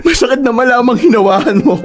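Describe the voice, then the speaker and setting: A betrayed young man, sobbing, screaming, very high voice, faint music behind. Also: animated, fast. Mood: bitter, grieving. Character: Nico, heartbroken young man betrayed by partner. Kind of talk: radio drama acting.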